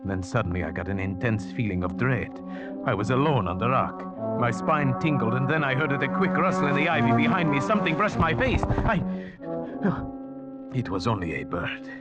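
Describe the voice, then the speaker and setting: An actor playing an earnest, uneasy man who tells an eerie tale in the measured, formal style of old-time radio suspense drama. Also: mid-range voice, expressive, lightly acted. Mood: mounting dread. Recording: muffled vintage radio recording with music.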